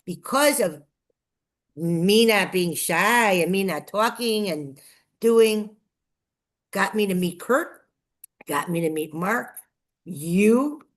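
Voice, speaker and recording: A woman's voice: medium pitch, animated, slow pace, pauses often. An older woman with a Chicago accent telling her story as an interview guest, effusive and emphatic, repeating phrases for effect. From Chicago, United States. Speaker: guest, nonprofit TV promoter. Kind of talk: enthusiastic personal storytelling in an interview.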